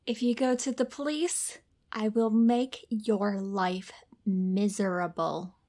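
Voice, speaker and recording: An actress playing a cold, calculating rich woman in a noir audio drama, with a measured, condescending and formal manner. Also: mid-range voice, steady tone, dark tone. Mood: icy, threatening. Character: cold, haughty, calculating rich femme fatale.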